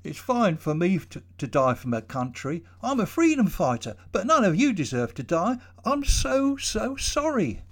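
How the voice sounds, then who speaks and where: A man voicing a fidgety, remorseful young man in his thirties, pleading in a high, loud voice in a storybook reading. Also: sing-song, moderate pace, hardly any pauses, heavily acted. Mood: anguished. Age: 30s.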